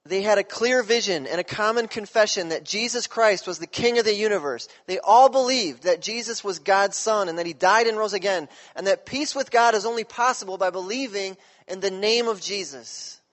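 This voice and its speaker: A pastor preaching a sermon in a relaxed, conversational way, often checking in with his listeners. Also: high voice, normal speed, few pauses, long reverb tail.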